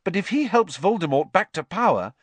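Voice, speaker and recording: An earnest teenage boy wizard from an audiobook, acted out by a man. The voice sits high and swings widely, with an agitated, insistent edge, recorded very dry. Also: very animated, moderate pace, dry recording. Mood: distressed, protesting. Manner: agitated, insistent, hesitant in places. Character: earnest, troubled teenage schoolboy wizard, male. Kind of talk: audiobook character voice.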